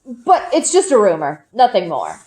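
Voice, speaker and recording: A woman voicing a guarded, reassuring older male shopkeeper in a measured, evasive manner. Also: mid-range voice, wildly swooping pitch, darkish tone.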